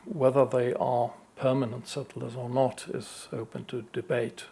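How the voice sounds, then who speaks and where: A man from Sweden answering audience questions at a conference, slow and hesitant with many fillers. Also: medium pitch, level tone, gravelly, dark tone, a little hiss or hum. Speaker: conference speaker.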